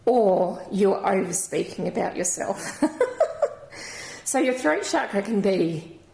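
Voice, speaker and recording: A woman with a croaky voice giving a channelled crystal reading in a gentle, rambling, reassuring way. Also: mid-range voice. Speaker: intuitive card reader. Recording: echoey room.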